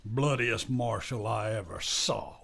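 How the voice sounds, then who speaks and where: A man with an American accent playing a bitter, defiant captured outlaw in a western radio drama, talking in a rough, accusing frontier drawl. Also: lowish voice, takes it slowly, a bit bright, full character voice.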